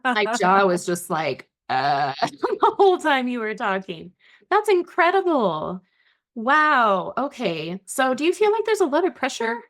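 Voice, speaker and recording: A woman's voice: a little high, wildly swooping pitch, unhurried, a bit bright. Gushing woman podcast host. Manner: enthusiastic, gushing reactions. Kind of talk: casual interview conversation.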